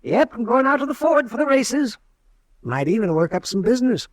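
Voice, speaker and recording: Folksy old doctor, high lively voice, dry mic. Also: male voice, fairly quick, no room sound. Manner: folksy, chuckling, hesitant. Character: fussy chuckling old town doctor. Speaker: town doctor. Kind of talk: 1950s radio drama acting.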